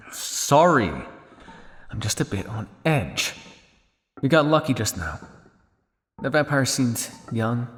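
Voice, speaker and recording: A man playing a weary, guilt-ridden old vampire scientist in an ASMR roleplay, speaking to the listener in a measured, dryly formal way. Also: mid-range voice, gravelly, long reverb tail. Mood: urgent, firm, then grim, uneasy.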